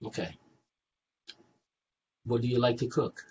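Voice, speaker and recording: A man's voice: medium pitch, measured pace, darkish tone. A man hosting a casual interview, asking simple questions and groping for words at times. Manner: short encouraging replies, searches for words. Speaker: interview host. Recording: lo-fi with faint noise.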